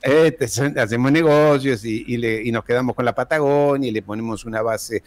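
A man's voice: medium pitch, moderate pace, no room sound. A man, a guest historian speaking emphatically, posing rhetorical questions and repeating key phrases, talking into a desk microphone in a small room at home. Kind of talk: interview over video call. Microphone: large desk microphone close up.

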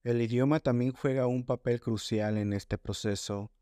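A man reading a formal, scripted voice-over narration in a measured way. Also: medium pitch, fairly even intonation, dark tone, clean tone, no room sound, clean studio sound. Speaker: segment narrator.

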